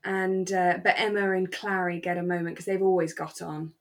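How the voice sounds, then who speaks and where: A British woman co-hosting a relaxed fan podcast, chatting in an effusive, sentimental way. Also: fairly low pitch, moderate pace. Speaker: podcast co-host. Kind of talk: relaxed fan chat about a radio drama.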